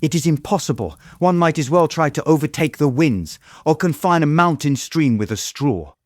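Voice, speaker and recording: An actor playing a tormented young gentleman who narrates a dramatized audiobook in an earnest, formal manner. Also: fairly high pitch, animated, normal speed, dry recording, studio quality, close to an everyday voice. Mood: incredulous. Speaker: narrator and lead character.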